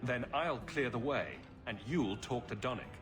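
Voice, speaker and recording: A man voicing a confident male hero who speaks calmly and teases dryly. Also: mid-range voice, normal speed, close to an everyday voice. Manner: calm, dryly teasing. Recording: game cutscene with music behind.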